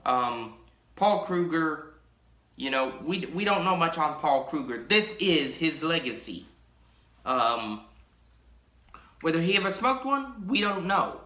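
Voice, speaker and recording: Slow, rambling man in an echoey room. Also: on the high side, lively intonation, pushed and bright. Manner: rambling, drawn-out, many ums. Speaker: pipe-collecting vlogger. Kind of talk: casual show-and-tell. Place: small home room or workshop.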